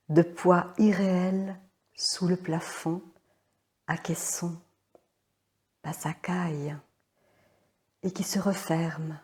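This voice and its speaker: Woman for solo poetry recital: lowish, extremely slow delivery, short phrases with long pauses, hushed. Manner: measured, pausing after short phrases.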